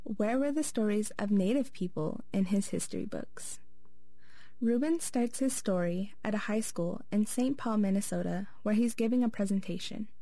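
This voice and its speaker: A woman hosting and narrating a radio documentary in a calm, measured storytelling voice. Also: medium pitch. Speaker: host and narrator.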